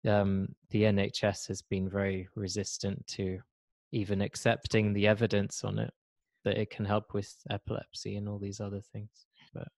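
A man in his thirties from Switzerland, hosting a podcast in casual conversation over a video call, halting and repeating words as he searches for them. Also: on the low side, a little mellow, no room sound. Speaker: podcast host. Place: indoor room. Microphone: video call, desk mic.

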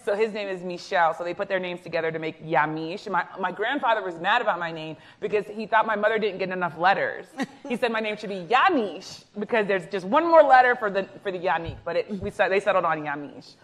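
A woman in her thirties whose first language was Haitian Creole, interviewing on an indoor festival stage with a lapel mic, lively and laughing easily. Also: mid-range voice, brisk, hardly any pauses. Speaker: interviewer, journalist. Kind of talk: onstage festival conversation.

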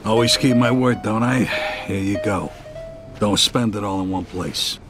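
An actor playing a middle-aged, businesslike American mobster boss with a casual, commanding, streetwise way of talking in a video game. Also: male voice, on the low side, measured pace, close to an everyday voice. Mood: easygoing. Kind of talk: dramatic video game acting. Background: music.

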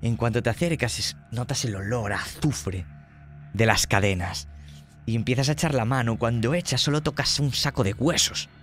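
A man's voice: mid-range voice, normal speed, faint music behind. A man narrating a tabletop horror game as game master, describing scenes vividly and dramatically.

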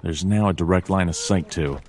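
A man voicing a deep deadpan noir detective. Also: normal speed, a crowd in the background, close to an everyday voice. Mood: dry, observing. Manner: deadpan, terse, dry. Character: brooding anthropomorphic bird detective, adult male, dry and weary. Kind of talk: noir adventure game narration. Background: jazzy background music.

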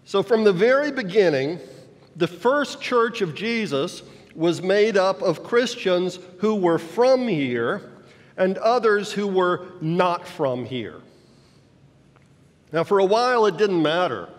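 An older man in his sixties preaching a sermon from a lectern in a church sanctuary, teaching slowly and checking in with his listeners. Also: high voice, animated. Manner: slow teaching delivery, checks in with the congregation. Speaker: pastor. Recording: long hall reverb in a church.

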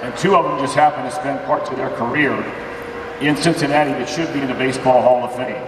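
Upbeat emcee booming through a vast hangar. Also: male voice, fairly even intonation, fast. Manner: upbeat, rallying announcer delivery. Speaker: event emcee and broadcaster. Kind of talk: introducing a panel to fans. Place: large aircraft museum hangar, indoors. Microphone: distant camera in the audience. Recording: roomy hangar echo, far from mic, crowd noise.

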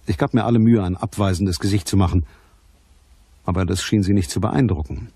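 An actor playing a curt, mocking private detective in a crime radio drama, speaking with a dry manner. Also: male voice, deep voice, brisk, dark tone, breathy edge, dry recording. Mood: wry narration. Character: hard-boiled, sardonic male private detective. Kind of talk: German crime radio drama acting and narration.

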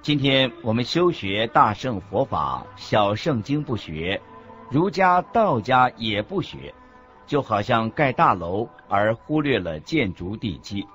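Expressive man narrating, dull recording with music. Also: medium pitch, slow. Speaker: narrator of a Buddhist radio lecture. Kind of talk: scripted dramatized religious narration. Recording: dull lo-fi audio with background music.

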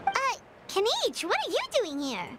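A woman's voice: very high voice, huge pitch swings, normal speed, full character voice. A woman voicing a tiny, curious, polite fairy girl companion in a video game. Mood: surprised, helpful. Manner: childlike, polite, inquisitive. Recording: loud game music behind.

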